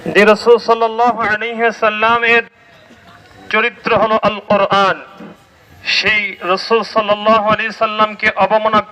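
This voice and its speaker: Bangladeshi man, Islamic cleric, extremely high pitch, piercing forceful tone, declamatory protest oratory, rhetorical questions. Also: flat delivery, moderate pace, long pauses, poor audio. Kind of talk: impassioned religious protest speech.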